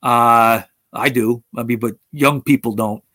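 A man's voice: medium pitch, fairly even intonation, measured pace, bright, forceful tone, no room sound. A man hosting a talk show, speaking bluntly with frequent swearing and restarts. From United States. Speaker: podcast host. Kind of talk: opinionated casual talk.

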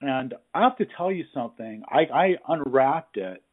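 A man hosting a casual interview over the phone, talking informally and effusively in halting phrases. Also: mid-range voice, lively intonation. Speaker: interviewer, hands-on tradesman. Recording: husky-sounding, thin phone-line audio.

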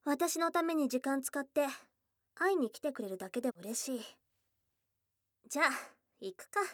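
A woman voicing a shy, affectionate young goblin girl in a Japanese ASMR voice drama, with casual tomboyish speech and a soft, hesitant delivery. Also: sits high, lively intonation, normal speed, no room sound, studio quality. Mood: hesitant, pleased. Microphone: close studio mic, dry.

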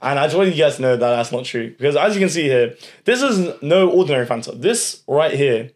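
A man's voice: a little high, lively intonation, a little fast. A young man hosting a casual podcast, asking questions and joking in a mock-serious way. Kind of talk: casual banter.

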